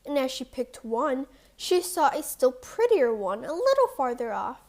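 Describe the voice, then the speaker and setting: A woman narrating a scripted fairy tale for young children as a storyteller, reading deliberately. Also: high voice, big pitch swings, a little mellow.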